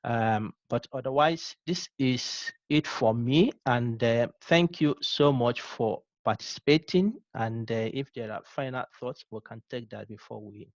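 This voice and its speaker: A Nigerian man teaching an online course, giving unhurried closing remarks full of ums and uhs. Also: mid-range voice, dry recording, muffled recording. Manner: unhurried, thinks aloud with many ums and uhs. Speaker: course instructor.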